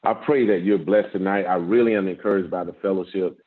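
A man in his fifties, a guest pastor, preaching a measured sermon with a strong voice from an office over a video call, rising with emphasis and repeating words as he builds. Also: low voice, steady tone. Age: 50s. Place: office with framed certificates, indoors. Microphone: video call, laptop camera. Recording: tinny phone-like video-call audio.

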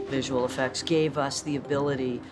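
Male producer, medium pitch, little intonation, measured interview over music. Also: steady tone. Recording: music behind voice.

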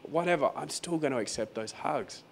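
A man preaching a sermon as pastor in a croaky, hoarse voice, talking conversationally and repeating phrases for emphasis. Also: a little high, lively intonation, moderate pace, a little hiss or hum. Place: venue hall during a church service.

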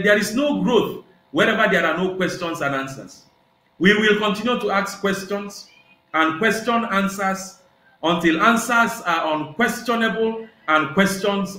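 A middle-aged man with a Nigerian accent whose first language is Igbo, a professor giving measured, rhetorical answers on a video call with many hesitant "uh"s. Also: sits high, bright, forceful tone, a little hiss or hum. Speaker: guest professor. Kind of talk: reflective interview answers. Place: small indoor room. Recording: echoey room on a video call.

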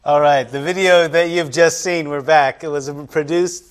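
A man hosting a studio panel interview, talking in a relaxed, loose way with plenty of fillers. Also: fairly high pitch, moderate pace. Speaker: TV show host. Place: TV studio, panel at a long table.

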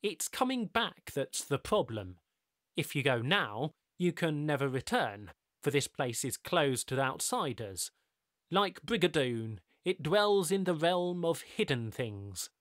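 A man voicing a calm, courteous godlike male overseer with smooth, measured, faintly weary politeness. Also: on the high side, no echo, clean studio sound, exaggerated voice.

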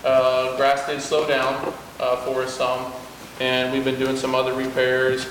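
A man, a city service director, giving a plain, halting spoken report to a council, full of fillers. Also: mid-range voice, monotone, a bit bright. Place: large wood-paneled meeting hall. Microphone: far room camera. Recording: echoey hall from far off, noisy.

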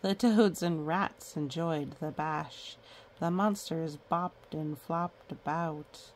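A woman's voice: deep voice, slow, a little hiss or hum. A woman reading a children's picture book aloud in a playful way, breaking off for chatty asides and sing-song counting.

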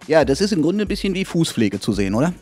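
A man's voice: fairly high pitch, very animated, quick pace, darkish tone, soft background music. A middle-aged man hosting a casual talk show in a spa studio, chatty and joking, speaking into a handheld mic. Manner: jokey, chatty.

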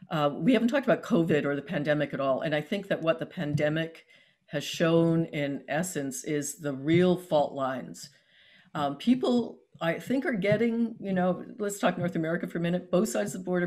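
A woman in her sixties, from Canada, speaking earnestly over a video call with many ums and restarts. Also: sits low. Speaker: author in conversation. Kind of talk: casual video-call conversation. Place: home room on a video call.

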